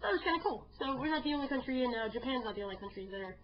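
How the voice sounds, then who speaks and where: Quick chatty woman leading the podcast talk, bit higher voice, muffled lo-fi audio. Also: expressive. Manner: quick, upbeat, steers the conversation. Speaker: podcast co-host. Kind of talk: casual movie chat.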